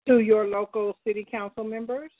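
A woman's voice: mid-range voice, fairly even intonation, moderate pace. A woman hosting a call-in talk line, speaking directly and posing questions to her listeners. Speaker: host. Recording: tinny phone line.

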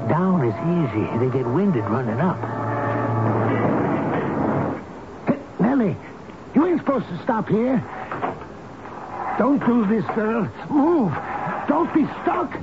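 A man's voice: high-pitched, very animated, measured pace, full character voice. An actor playing an eccentric, folksy old elevator man in a radio drama, talking tenderly to his machine. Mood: gleeful, fond. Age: older. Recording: noisy, dull lo-fi radio drama recording.

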